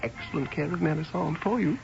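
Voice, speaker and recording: An actor playing a smug, teasing young gentleman, a suave rival in love, in a radio drama. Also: on the high side, lively intonation, fairly quick, creaky. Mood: jaunty, smug. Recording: dull old radio recording with music.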